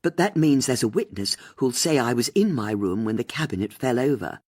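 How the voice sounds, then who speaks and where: A woman voicing a defensive, indignant younger woman under accusation in an audiobook, with a sharp, challenging manner. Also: very deep voice, lively intonation, fairly quick, slightly rough, no room sound, clean studio sound, exaggerated voice. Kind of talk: audiobook character dialogue.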